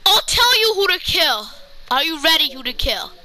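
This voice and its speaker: A player acting out an improvised video game roleplay, speaking haltingly and repeating words. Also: very high voice, sing-song, pushed and bright. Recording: noisy home game recording with clicks.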